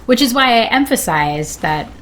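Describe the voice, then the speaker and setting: A middle-aged American woman chatting casually and animatedly about family life, quick to laugh. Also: on the high side, big pitch swings, fairly bright tone, slight background noise. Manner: chatty, laughs easily, quotes people animatedly. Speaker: guest on a sibling's game stream. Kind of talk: casual chat, telling family anecdotes.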